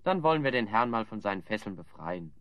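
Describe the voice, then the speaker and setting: An actor playing a level-headed, dryly ironic adventurer hero in an audio drama. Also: male voice, fairly low pitch, big pitch swings, quick pace, dull audio, slight background noise. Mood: dry irony. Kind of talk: German audio drama acting.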